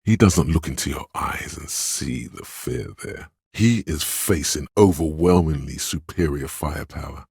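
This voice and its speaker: An actor playing a stern, commanding inner voice of police authority in a video game, giving imperious, clipped orders. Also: male voice, a bass voice, unhurried, vocal fry, hardly any pauses, a little mellow, slightly rough, dry recording, studio quality, heavily acted. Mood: grave, ominous. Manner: imperious, clipped orders, addressing listener as recruit. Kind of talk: video game character narration.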